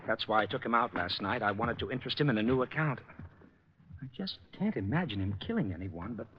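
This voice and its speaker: A loyal, concerned business executive in a vintage radio drama, played by a man. His polite, earnest delivery moves widely in pitch. The recording is dull, with clear background noise. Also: animated, brisk, natural voice. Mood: earnest, helpful. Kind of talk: 1940s radio drama acting.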